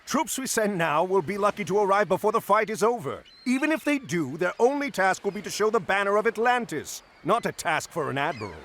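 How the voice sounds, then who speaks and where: Middle-aged admiral hero, commanding, lively pitch, game cutscene, faint noise. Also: male voice, a little fast, few pauses. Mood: calm. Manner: commanding, gives orders.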